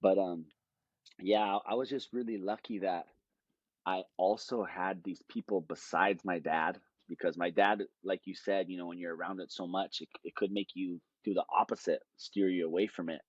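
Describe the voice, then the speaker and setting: A man's voice: low-pitched, steady tone, moderate pace, slightly rough, no room sound, dull audio. A man, telling stories in a rambling, easygoing way as a podcast guest. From Hawaii. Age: late 20s. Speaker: guest, jiu-jitsu fighter. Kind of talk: casual podcast conversation.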